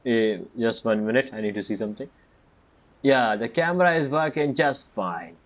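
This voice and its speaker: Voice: medium pitch, animated, normal speed, highs cut off. A game streamer giving casual, blunt, scoffing commentary in informal, loosely grammatical speech. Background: game music.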